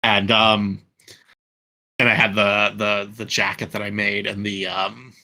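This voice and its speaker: A young man talks casually as a podcast host from his home. His voice sits a little low but has a forceful, bright edge. He rambles and restarts his sentences. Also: level tone, unhurried. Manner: rambling, lots of fillers and restarts. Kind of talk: casual chat.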